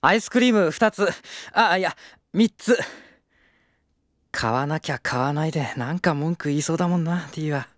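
An actor playing a gentle, earnest, protective young man with mild, caring phrasing in a Japanese audio drama. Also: on the high side, moderate pace, dry recording, natural voice. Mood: friendly.

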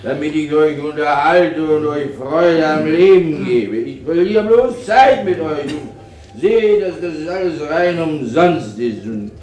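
An actor playing a drunken army chaplain in a radio play, rambling and abusive, swinging between barked orders and maudlin piety. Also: male voice, a little high, expressive, slow pace, darkish tone. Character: drunken, bullying army chaplain preaching to prisoners. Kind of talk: German radio play sermon. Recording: echoey chapel-like room.

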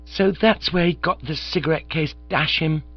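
A man voicing a resentful young gentleman who speaks bitterly and indignantly. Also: fairly high pitch, normal speed, slightly rough, soft background music, voice pushed up. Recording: slightly dull lo-fi recording.

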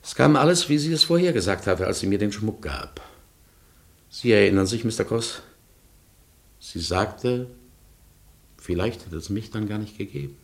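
Radio drama: haunted narrator in mourning, deep, rough husky voice, grave storytelling. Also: male voice, expressive, normal speed, pauses often. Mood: agitated recounting. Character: haunted, grieving man recounting his wartime loss.

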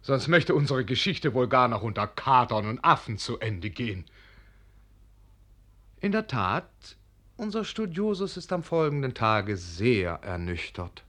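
An urbane narrator of a literary radio play. His medium-pitched voice is lively and expressive, shaping each phrase like a storyteller. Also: a little slow, exaggerated voice. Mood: wry, measured.

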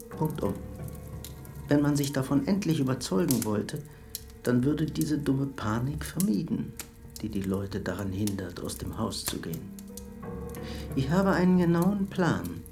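An actor playing a self-righteous serial killer in a radio drama monologue, measured, insistent and justifying himself. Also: male voice, medium pitch, gravelly.

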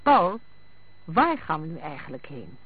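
The recording is thin, dry and phone-like. A male narrator puts on an insistent wife from a detective story, pitched high. She is pointed and sceptical, pressing her husband for answers. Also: wildly swooping pitch, measured pace, slightly rough, no room sound, full character voice. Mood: impatient. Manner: pointed, questioning. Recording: thin, phone-like band-limited sound.

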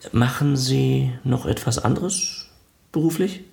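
An actor playing an eager, awkward arts foundation official in a radio play, hesitant and earnest. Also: male voice, medium pitch, slow pace, creaky, a little mellow, a little hiss or hum. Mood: polite, awkward. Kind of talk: German radio play acting.

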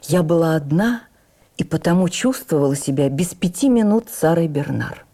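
A woman reading a memoir for radio as an actress, with measured, expressive, theatrical storytelling. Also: deep voice.

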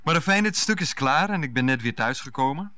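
Dry, mocking husband. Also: male voice, a little high, animated, brisk, sharp tone, clean tone. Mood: grumbling. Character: Freek, grumbling mocking idealistic husband. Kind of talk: radio play acting.

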